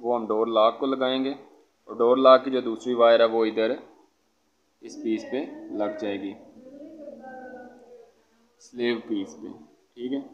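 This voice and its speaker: A man giving an informal hands-on tutorial as an electrician, explaining step by step and often checking with a quick okay. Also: medium pitch, little pitch movement. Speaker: electrician presenter. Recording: dull lo-fi phone audio, background noise.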